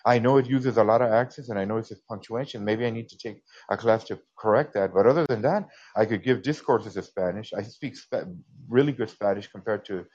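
A man's voice: fairly low pitch, brisk, darkish tone, husky. A man from the United States calling into a podcast, talking earnestly and stumbling over repeated words. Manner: earnest, repetitive, stammering restarts. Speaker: caller. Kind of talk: casual call-in chat. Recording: dull lo-fi call audio.

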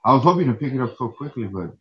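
A man calling in to a stream, calm and reassuring in casual chat. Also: mid-range voice, very fast, muffled recording, a little hiss or hum. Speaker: caller.

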